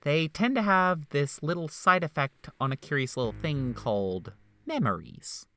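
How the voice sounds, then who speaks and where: A man's voice: a little high, lively intonation, moderate pace, pushed and bright. A man playing a wry, smug doctor in a game dub, with a sardonic, theatrical delivery. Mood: wryly intrigued.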